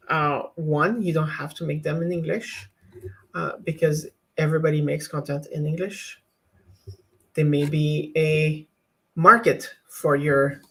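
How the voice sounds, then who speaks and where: A woman's voice: sits low, fairly even intonation, takes it slowly, husky. Woman, French native speaker, casual vlog chat, candid advice, frequent restarts. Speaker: YouTuber teaching cloud infrastructure.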